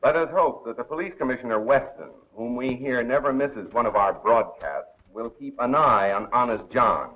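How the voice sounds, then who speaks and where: Polished 1930s radio crime commentator, played by an actor, dull soundtrack. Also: male voice, mid-range voice, expressive, slight background noise, natural voice. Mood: wry, newsy. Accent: American. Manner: polished, measured announcer delivery. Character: suave, wry male radio crime commentator and amateur sleuth. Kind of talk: 1930s American film acting as a radio newscaster.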